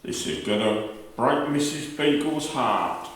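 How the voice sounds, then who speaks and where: A man's voice: medium pitch, slow, close to an everyday voice. A man playing a kindly, courteous neighbour in a radio play. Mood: tender, upset. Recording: echoey room, far from mic.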